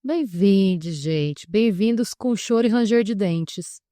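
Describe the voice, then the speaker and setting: A woman co-hosting a podcast, chatting and setting up a story in a relaxed way, with frequent asides. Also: medium pitch, slow pace, dry recording. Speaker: podcast co-host. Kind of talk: casual storytelling chat.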